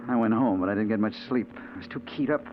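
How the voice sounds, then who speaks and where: A man's voice: on the low side, quick pace, faint music behind, close to an everyday voice. A man playing a brooding, obsessed detective who narrates his own case in earnest, hard-boiled 1940s radio noir style. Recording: muffled, noisy 1940s radio recording.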